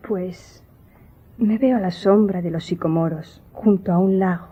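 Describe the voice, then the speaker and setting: An actress playing a melancholy young Greek noblewoman in a radio drama, recounting memories in a solemn, formal, measured way. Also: fairly low pitch, breathy edge, dry recording. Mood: wistful. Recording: muffled audio with music behind.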